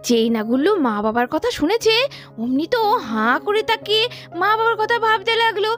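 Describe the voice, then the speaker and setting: A female storyteller narrates a children's doll tale. Her intonation moves up and down in a lively way, with light music underneath. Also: extremely high pitch, a little fast.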